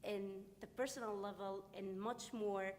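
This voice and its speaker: A woman's voice: mid-range voice, fairly even intonation, a little mellow. Syrian-accented woman reading a statement. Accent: Syrian Arabic. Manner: careful, deliberate, slightly halting. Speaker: panelist, refugee volunteer. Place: conference hall. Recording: long reverb with faint noise in a hall.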